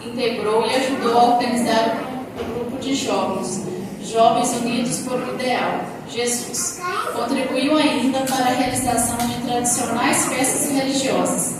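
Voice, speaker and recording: A woman's voice: fairly high pitch, fairly even intonation, normal speed. Woman, ceremony reader of a prepared text; distant mic, long hall reverb. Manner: reads steadily, with small stumbles and restarts on words. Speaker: reader of honorees' biographies. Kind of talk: reading a prepared text aloud. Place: council chamber during a formal session. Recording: echoey hall from far off, loud noise.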